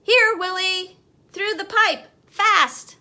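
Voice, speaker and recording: A woman voicing an eager, bold young boy in a storybook, exclaiming with urgency and excitement. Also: very high voice, slow, voice pushed up, full character voice. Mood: urgent shouting. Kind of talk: storybook read-aloud character voice.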